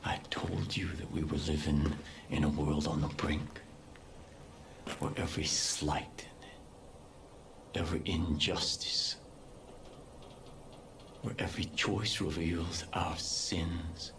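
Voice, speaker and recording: An actor playing a fanatical cult leader in a video game, preaching with solemn, deliberate menace in a breathy, often hushed voice. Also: male voice, extremely low pitch, fairly even intonation, reverberant, background noise, full character voice. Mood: grave, accusing. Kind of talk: dramatic sermon-like game acting.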